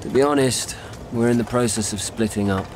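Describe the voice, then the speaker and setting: Actor as weary personal assistant, clear background noise. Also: male voice, medium pitch, brisk, close to an everyday voice. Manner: obedient, apologetic. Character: weary, dutiful, put-upon male personal assistant. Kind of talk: radio drama acting.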